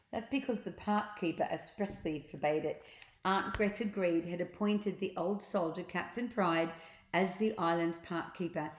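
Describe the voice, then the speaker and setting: A woman storyteller with a slightly low voice takes her time through a children's book. She reads theatrically and chats to listeners in asides. The room around her echoes. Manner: theatrical, acts out sound effects. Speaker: storyteller reading children's books aloud. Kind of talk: expressive read-aloud narration with asides to listeners.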